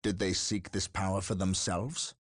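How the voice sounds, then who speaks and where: An actor playing a stern, battle-hardened space marine captain in a video game, solemn, formal and distrustful, with a rough husky voice. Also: male voice, low voice, level tone, moderate pace, no echo, clean studio sound. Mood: grave, questioning. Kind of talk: dramatic video game cutscene acting.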